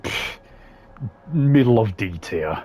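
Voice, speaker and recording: Low-voiced man giving blunt casual commentary. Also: lively intonation, takes it slowly, mellow. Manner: blunt, slangy, opinionated. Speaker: commentator ranking game voice lines. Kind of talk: casual tier-list commentary.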